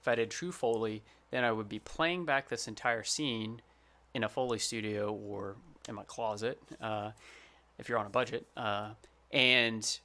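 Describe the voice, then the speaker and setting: A man's voice: on the low side, pushed and bright. A man who works as a sound designer, giving an informal, plain-spoken tutorial. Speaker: sound designer presenting his project.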